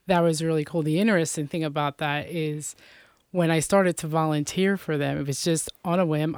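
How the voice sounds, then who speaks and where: A woman's voice: sits low, a little mellow, clean tone, no room sound, studio quality. A woman from Puerto Rico, a guest in a casual faith interview, talking in a chatty way with many fillers.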